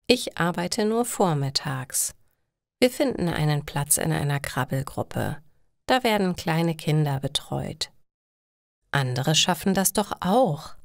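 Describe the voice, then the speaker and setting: A woman voicing a caring, practical mother who talks gently and encouragingly in an audio story for language learners. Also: low voice, animated, slow pace, vocal fry, no room sound, clean studio sound, exaggerated voice. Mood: reassuring. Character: caring, practical, reassuring middle-aged mother. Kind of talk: acted dialogue in a slow learner's audio story.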